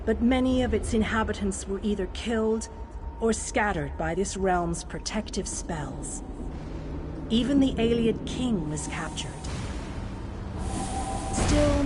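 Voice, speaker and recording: Woman acting a slow, solemn mystical advisor. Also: medium pitch, very noisy, lightly acted. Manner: measured, formal, portentous. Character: solemn female mystical advisor guiding a champion. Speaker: quest-giving advisor character. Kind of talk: fantasy game lore narration and dialogue.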